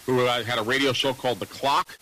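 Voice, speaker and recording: A man's voice: mid-range voice, fairly even intonation, a little fast, pushed and bright, poor audio. A man from the United States reminiscing casually as a panelist on a radio talk show.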